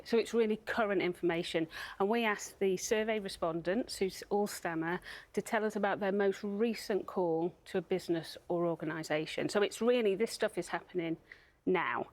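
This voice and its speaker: A woman's voice: mid-range voice, no room sound. A woman speaking as a charity spokesperson, explaining things in a broadcast interview. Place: TV studio.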